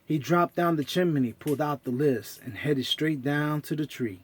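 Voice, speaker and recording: A man narrating a children's story as an expressive storyteller. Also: on the high side, moderate pace.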